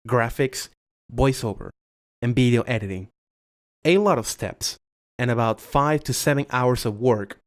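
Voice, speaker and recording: A man reading his own scripted voiceover in a measured, formal way, close to a USB condenser mic at home. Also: mid-range voice. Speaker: video creator.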